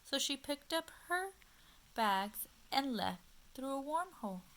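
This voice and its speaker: A woman reading a storybook aloud to young children, expressive and child-directed, pausing often and addressing her listeners. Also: high-pitched, noisy background.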